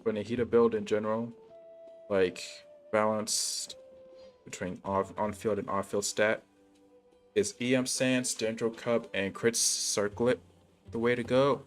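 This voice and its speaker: A man's voice: lowish voice, steady tone, a little slow. A man chatting casually on a gaming livestream in laid-back, slangy bro talk. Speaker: game livestreamer. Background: game music.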